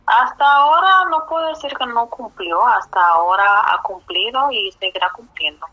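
A woman from the Republic, answering oral history questions slowly, with hesitant fillers. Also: fairly high pitch, lively intonation. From Dominican Republic. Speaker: interviewee. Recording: muffled, dull lo-fi audio.

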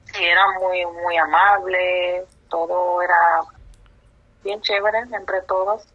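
A woman from the Republic, answering oral history questions slowly, with hesitant fillers. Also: fairly low pitch, steady tone. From Dominican Republic. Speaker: interviewee. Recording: muffled, dull lo-fi audio.

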